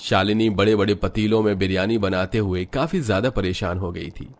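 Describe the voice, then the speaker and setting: A man's voice: fairly low pitch, moderate pace. A man narrating a Hindi cartoon story in a scripted storytelling style.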